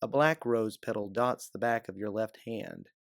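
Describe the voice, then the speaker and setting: Male audiobook narrator for scripted adventure fiction: low register, some fry, very dry room sound. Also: moderate pace, dry recording.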